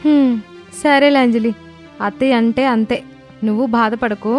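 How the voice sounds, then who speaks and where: A woman playing a sensible, patient elder daughter-in-law in an animated story, reasoning and placating. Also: fairly high pitch, moderate pace, natural voice. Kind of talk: animated moral story dialogue. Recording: voice over prominent music bed.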